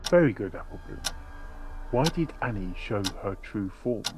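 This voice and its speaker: A man voicing an earnest, probing pony doctor who questions deliberately in a dramatic fan fiction reading. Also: fairly low pitch, animated, close to an everyday voice. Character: earnest, probing stallion doctor investigating a dark case. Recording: noisy home recording.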